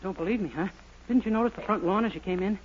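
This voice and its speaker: A man's voice: sits high, fast, close to an everyday voice. An actor playing a naive, eager young man who spins wild tales in a 1940s radio sitcom. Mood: deadpan. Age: young adult. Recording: muffled, hissy old 1940s radio recording.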